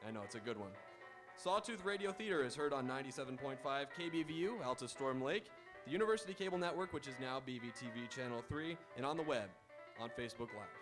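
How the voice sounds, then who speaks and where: A man announcing the sign-off of a live radio theatre broadcast from an auditorium stage. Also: on the high side.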